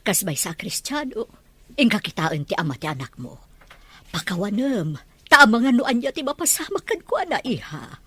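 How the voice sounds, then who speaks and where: A woman plays a gentle melodrama mother in middle age. Her voice is husky and rises and falls with lively intonation. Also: medium pitch, normal speed, no echo. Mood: gently advising. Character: gentle advising mother of a grown daughter. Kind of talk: radio-style drama acting.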